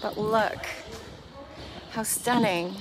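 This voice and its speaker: A middle-aged woman hosting an unscripted walk-through vlog on a phone at arm's length, with a halting, meandering delivery. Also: mid-range voice. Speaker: host of a shopping preview vlog. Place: outside a metal warehouse store, then large busy indoor market and cafe. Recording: noisy handheld phone recording on location.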